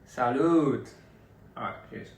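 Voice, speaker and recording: A man's voice: mid-range voice, slow. A young man from Australia, chatting casually and rambling with fans on a live stream. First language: English. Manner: relaxed, rambling, laughing between lines. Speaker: livestreaming artist. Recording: echoey room, distant phone mic.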